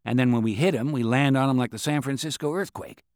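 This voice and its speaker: A man's voice: medium pitch, quick pace, darkish tone, no room sound, studio quality, lightly acted. A man doing a brash, profane veteran officer. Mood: forceful. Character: blunt veteran male military officer.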